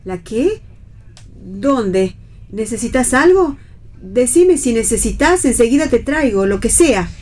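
A woman's voice: a little high, very animated, slow, a little mellow, full character voice. An actress playing a fussy, anxious middle-aged mother in a radio play, with an Argentine accent and a hesitant, solicitous manner. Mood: fussing, insistent. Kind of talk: radio theatre play reading.

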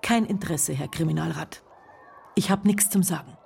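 An actress playing a sly, unrepentant widow under suspicion in a radio drama, speaking with a Bavarian accent in a dry, unflustered way. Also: female voice, normal speed, a little mellow, slight background noise. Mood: cool defiance. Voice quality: creaky, low-pitched. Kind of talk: naturalistic radio drama dialogue.

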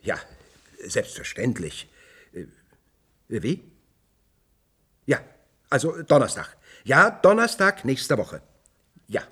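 Nervous pushy bureaucrat, radio drama, swooping intonation, husky. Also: male voice, medium pitch, huge pitch swings, slow, long pauses, pushed and bright, full character voice. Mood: flustered, servile. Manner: hesitant, stammering, impatient. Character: flustered male official, servile to superiors, pushy with subordinates. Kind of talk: German radio play acting.

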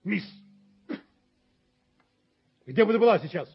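An actor playing a firm, self-justifying rich father and landlord in a radio play, speaking in a measured, lecturing way. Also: high voice, expressive, creaky, husky, heavily acted. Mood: indignant. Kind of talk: Soviet radio play acting. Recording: dull 1970s radio drama recording.

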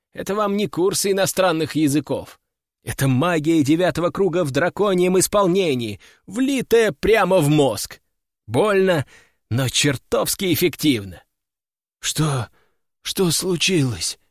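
A man's voice: fairly high pitch, lively intonation, measured pace, no echo, clean studio sound. A man narrating a fantasy audiobook in the first person, in a wry, ironic tone.

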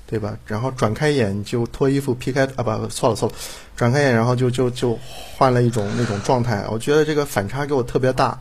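A man's voice: medium pitch, quick pace, hardly any pauses, a little hiss or hum. A man speaking as an interview guest in casual chat, rambling and repeating himself, often adding tag questions. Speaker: audio drama director, interview guest.

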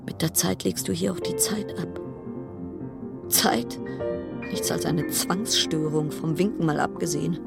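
An actress in a German radio play voicing a frayed, suspicious cruise ship passenger in a brooding monologue of broken-off, repeated phrases. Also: female voice, low-pitched, measured pace, gravelly, long pauses, heavily acted. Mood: brooding, resigned. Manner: fragmented, broken-off sentences, repeating words. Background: music.

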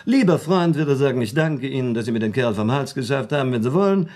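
An actor playing a shrewd, superior man who spins a crime story in a radio play, with a husky voice and a deliberate, theatrical delivery. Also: mid-range voice, animated, a little mellow, lightly acted. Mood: conspiratorial, animated. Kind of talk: German radio play dialogue.

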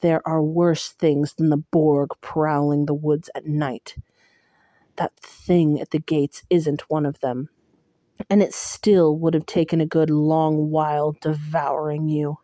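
Brooding male fae lord, low and quiet, dry and warm-toned, read by a woman. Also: flat delivery, slow pace, no room sound. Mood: stern. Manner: cold, stern, low and quiet.

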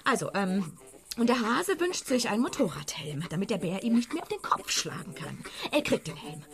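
An actress playing a sardonic, fed-up woman who mocks her bossy man with a dry, deadpan joke in a radio play. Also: medium pitch, normal speed. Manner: dry, mocking joke-telling.

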